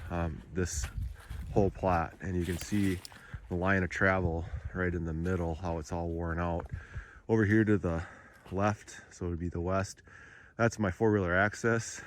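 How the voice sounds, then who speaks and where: Man, very low voice, nasal from a cold, relaxed rambling narration, faint background noise. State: sick, nasal voice. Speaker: landowner giving a food plot tour. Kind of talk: casual narrated drone tour.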